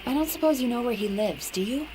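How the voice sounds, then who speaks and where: A woman playing an earnest, composed young therapist in a video game, speaking in a measured, articulate way, caring but firm. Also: on the high side, lively intonation, lightly acted, low-quality recording. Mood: resigned. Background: game music.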